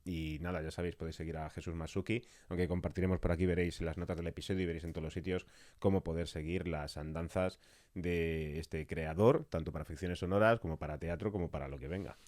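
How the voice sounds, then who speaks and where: A man from hosting a casual studio interview, bantering in wordy, halting sentences. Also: low-pitched, fairly even intonation, darkish tone, dry recording. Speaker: podcast host, interviewer.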